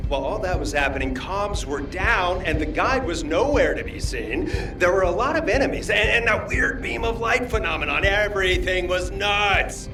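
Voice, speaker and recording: An actor playing a cheerful, scatterbrained young man in a video game, chatty, rambling and excitable. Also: high voice, moderate pace, far from the mic. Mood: excited, rambling. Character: cheerful, scatterbrained young male comrade fond of feeding people. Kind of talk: video game cutscene acting. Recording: off-mic, music or noise behind.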